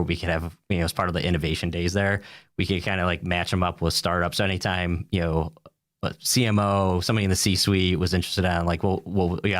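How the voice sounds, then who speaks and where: An American man with a deep voice talks as an interview guest. His answers are casual and wandering, padded with small filler phrases. The sound is dry, with little room around it. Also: no room sound. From United States, upstate New York. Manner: rambling, peppered with "you know" and "like".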